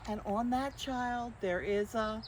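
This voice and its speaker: An older woman reading picture books aloud to young children, expressively, with drawn-out phrases and questions to her listeners. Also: on the high side, very noisy. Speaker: children's storyteller.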